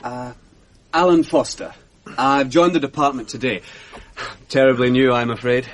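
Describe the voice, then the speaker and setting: An actor playing a nervous, apologetic young office newcomer in a radio drama, hesitant and polite. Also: male voice, medium pitch, fairly even intonation, moderate pace, a little hiss or hum, natural voice. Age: young adult.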